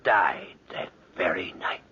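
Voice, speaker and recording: A man playing a cheerful, morbid elderly neighbour in a 1940s radio drama, rambling heartily and emphatically in a husky voice. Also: deep voice, slow, pushed and bright, exaggerated voice. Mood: morbid relish. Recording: muffled 1940s radio recording.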